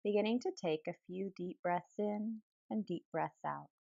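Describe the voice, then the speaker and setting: Calm lady doing guided relaxation, gentle n unhurried, very dry close sound, bit muffled. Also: medium pitch, gravelly, no room sound. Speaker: yoga nidra guide. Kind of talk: guided relaxation meditation, soothing instruction.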